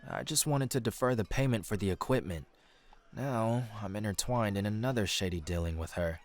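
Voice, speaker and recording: An actor playing a temperamental young male crusader in a fantasy audio drama, gruff and impatient. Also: lowish voice, lively intonation, fairly quick, dry recording, soft background music, natural voice. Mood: grumbling. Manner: gruff, grumbling, sighing impatience.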